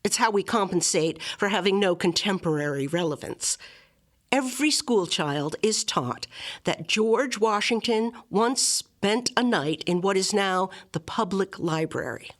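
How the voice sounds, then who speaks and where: A woman reading a literary memoir aloud as scripted narration, with measured, articulate and expressive phrasing. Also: mid-range voice. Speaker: reader of a literary memoir excerpt.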